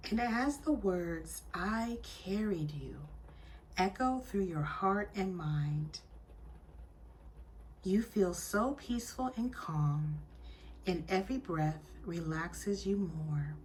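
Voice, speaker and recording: Woman, slightly low voice, very slow pace, soothing meditation storytelling, hushed phrase endings, faint background noise. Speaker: guided meditation host.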